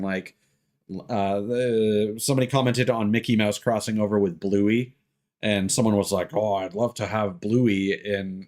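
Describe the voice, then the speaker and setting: A man livestreaming a video game, chatting casually and thinking aloud in a hesitant, rambling way. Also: fairly low pitch. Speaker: game live streamer. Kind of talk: casual livestream chat while gaming. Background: game music.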